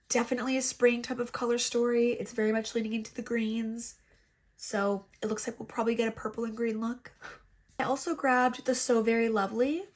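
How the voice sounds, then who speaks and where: Congested woman beauty vlogger, chatty and self-mocking, slightly high voice, laptop mic at home. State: congested, stuffy nose. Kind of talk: casual makeup tutorial chat. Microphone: laptop built-in mic.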